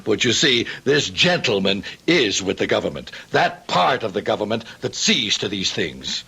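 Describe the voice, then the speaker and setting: Deep, creaky, evasive businessman. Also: big pitch swings, normal speed, pushed and bright, full character voice. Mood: wary, evasive. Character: wary, evasive man, intimidated small businessman. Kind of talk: old-time radio drama acting.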